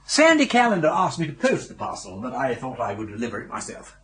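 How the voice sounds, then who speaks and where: An actor playing a jaunty upper-class English gentleman in his sixties in a radio drama, breezy and genial. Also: mid-range voice, huge pitch swings, fast talker, noisy background. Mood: genial, curious. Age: 60s. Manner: breezy, genial, clipped asides. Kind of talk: British radio drama acting.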